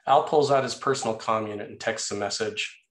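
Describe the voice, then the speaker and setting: A man's voice: fairly low pitch, a little fast, a bit bright. A man reading stage directions as the scripted narrator of a screenplay table read. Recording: echoey, roomy sound.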